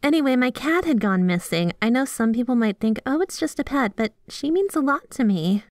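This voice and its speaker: A woman's voice: high voice, lively intonation, a little fast, dry recording, clean studio sound. A woman playing a sweet, obsessively devoted yandere girlfriend in a scripted ASMR roleplay, gushing and bashful as she speaks to the listener. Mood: tender, nostalgic. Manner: gushing, giggly, teasing, bashful asides.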